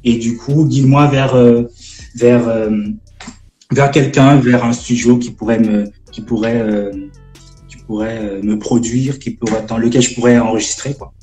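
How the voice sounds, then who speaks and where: A man in his twenties from French Guiana, a gospel rap artist chatting on a live stream, halting with many fillers and restarts. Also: medium pitch, fairly even intonation, mellow, roomy sound, faint music behind, low-quality recording. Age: 20s. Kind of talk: casual live-stream interview.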